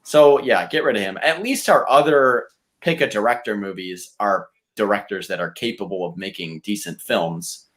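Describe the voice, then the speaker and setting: A man talking casually as a podcast contestant, blunt, argumentative and quick to swear. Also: fairly low pitch. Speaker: podcast contestant pitching movie reboots.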